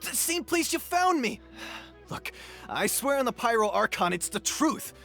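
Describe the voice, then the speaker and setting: An actor playing a brash, cowardly young bandit in a video game, whiny, cocky and theatrical. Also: male voice, very high-pitched, big pitch swings, unhurried, creaky, bright, forceful tone, soft background music, full character voice. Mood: panicked. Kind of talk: video game character acting.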